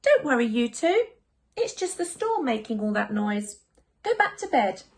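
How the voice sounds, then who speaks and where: A woman voicing a gentle, reassuring mother of young twins in a children's picture book, patient and soothing. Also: on the high side, big pitch swings, normal speed.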